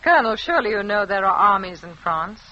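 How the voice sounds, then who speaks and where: Tense French resistance wife, muffled radio recording. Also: female voice, medium pitch, very animated, fast, bright, forceful tone. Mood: defiant, steely. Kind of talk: 1940s radio drama acting.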